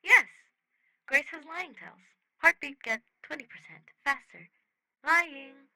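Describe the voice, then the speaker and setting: A woman's voice: high voice, very animated, takes it slowly, creaky, sharp tone, voice pushed up, full character voice, poor audio. A woman voicing a blunt, innocent alien friend who speaks in clipped broken phrases. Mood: eager, certain.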